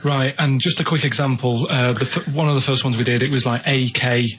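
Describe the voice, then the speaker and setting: A man on radio, running a quiz casually and reading out clues in a plain-spoken, halting way. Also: mid-range voice, steady tone, fairly bright tone, slightly rough.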